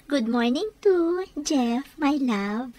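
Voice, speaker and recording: A woman playing a caring, practical young bride-to-be in a radio drama, solicitous and gently insistent. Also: high voice, lively intonation, drawn-out words, heavily acted. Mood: affectionate.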